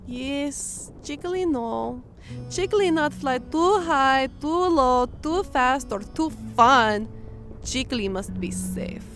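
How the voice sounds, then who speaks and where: A woman voicing an excitable, childlike male harpy who speaks in broken, simple third-person sentences in a fantasy audio story. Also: high-pitched, slow, faint music behind, full character voice. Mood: sulky, resigned.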